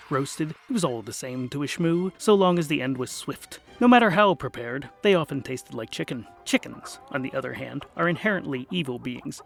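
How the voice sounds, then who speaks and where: A man's voice: medium pitch, vocal fry. A man narrating a scripted comic fantasy story, with music behind him. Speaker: audiobook narrator. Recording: music under the voice.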